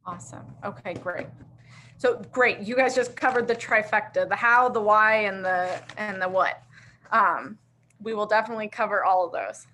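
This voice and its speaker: Bright-voiced woman hosting a video call. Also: mid-range voice, normal speed, a little hiss or hum. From Afghanistan and Pakistan. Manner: upbeat, conversational, many fillers. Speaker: host presenting a church software platform. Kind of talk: casual video call presentation.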